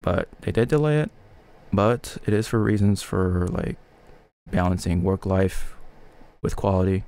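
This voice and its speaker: Guy w/ deep gravelly voice, rambly halting gaming podcast chat. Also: fairly even intonation, dark tone. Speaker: video game podcast co-host. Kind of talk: casual chat, gaming opinions.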